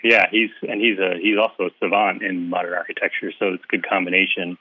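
A guest author from the Philadelphia area chatting in an interview, hesitant with lots of uhs but friendly. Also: male voice, sits low, flat delivery, fast talker, husky. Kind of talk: casual interview chat. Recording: tinny phone-like line, dry.

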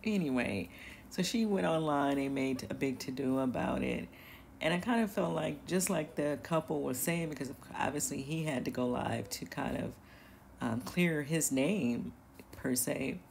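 Chatty woman with a gravelly edge. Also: deep voice, unhurried, a little mellow, slight background noise. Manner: chatty, hesitant, full of fillers and little laughs. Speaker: commentary channel host. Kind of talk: casual commentary.

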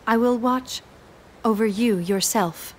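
A woman's voice: on the high side, slow pace. An actress playing a poised, dutiful young noblewoman of ancient China in a video game, speaking formally and courteously. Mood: soft, devoted. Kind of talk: dubbed video game cutscene acting.

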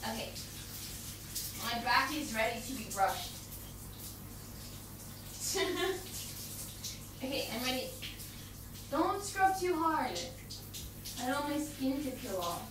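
A woman doing an intimate girlfriend roleplay in casual one-sided chat, playful and teasing, often halting and repeating words. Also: sits high, very animated, far from the mic. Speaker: girlfriend roleplay audio performer. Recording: very echoey bathroom-like sound, off-mic, background water noise.

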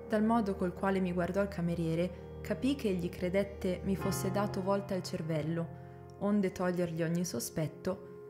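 A woman's voice: fairly low pitch, close to an everyday voice. A woman acting a devoted female servant who tells her story earnestly, as radio drama. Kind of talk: dramatic first-person narration and dialogue. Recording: guitar music behind the voice.

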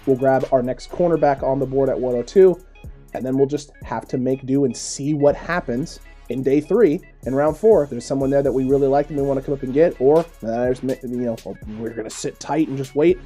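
A young adult man hosting a sports podcast over a video call, leading the talk in a quick, animated way. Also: medium pitch, soft background music. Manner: fast, animated, leads the discussion. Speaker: podcast host. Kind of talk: casual sports analysis chat. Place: small room, indoors. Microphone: webcam, mic close in front, video call.

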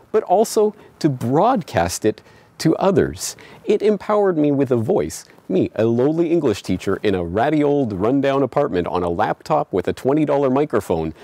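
A man in his forties from Canada, hosting his own online show with a scripted, emphatic monologue and lively hand gestures. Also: mid-range voice. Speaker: independent online journalist and host. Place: small home studio indoors, also outdoors on the street. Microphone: studio microphone on a stand beside the camera.

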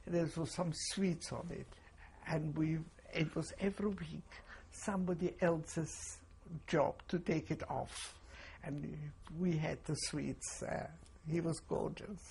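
An elderly woman, giving testimony slowly and haltingly, with many pauses. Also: low voice, steady tone, gravelly, darkish tone, a little hiss or hum, rough recording. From Poland. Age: 80s. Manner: slow, halting, pausing with fillers. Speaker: interviewee. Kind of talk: oral history testimony.

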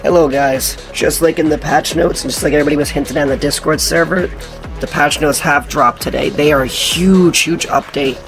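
Hoarse young guy voicing gameplay commentary, slightly high voice, gravelly fry, faint music behind. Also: fairly even intonation, a little fast. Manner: casual, reads aloud with stumbles. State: hoarse, partly lost voice. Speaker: gaming channel host. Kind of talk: casual gameplay commentary reading patch notes.

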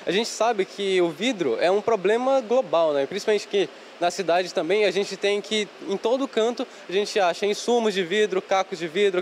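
A man's voice: sits high, slight background noise. A teenage student eagerly presenting his project into a handheld mic in a workshop. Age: teens. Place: school workshop. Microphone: handheld reporter mic.

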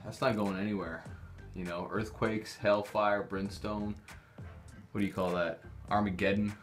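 Male vlogger, 30s, lowish voice, dry joking house-tour patter with slangy mock bravado and swearing, faint music. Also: little pitch movement, a little slow. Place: small rooms of a rundown house.

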